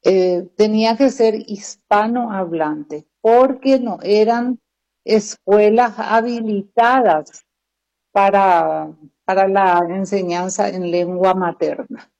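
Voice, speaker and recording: Slow, mellow woman teacher. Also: medium pitch. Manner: slow, measured, explanatory. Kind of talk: casual conversation. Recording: dull lo-fi sound.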